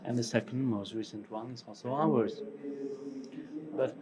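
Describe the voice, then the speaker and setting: A middle-aged man with a Portuguese accent, mixed with some Spanish, giving a conference talk as a company co-founder. He speaks in short, halting phrases with pauses. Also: mid-range voice, fairly even intonation, a crowd in the background. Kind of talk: conference presentation. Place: large conference hall stage, indoors. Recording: conference hall with audience noise.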